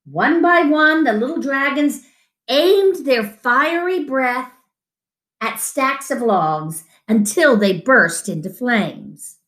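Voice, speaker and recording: A middle-aged woman reading a picture book to children expressively, with dramatic, deliberate pauses. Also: high voice, lively intonation, a bit bright. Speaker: children's storyteller. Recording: roomy home echo.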